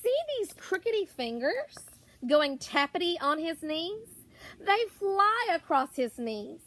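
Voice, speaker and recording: A woman reading a children's picture book aloud as a teacher would, expressively, with drawn-out words and deliberate pauses. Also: very high-pitched, sing-song, fairly bright tone, low-quality recording. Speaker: primary school teacher.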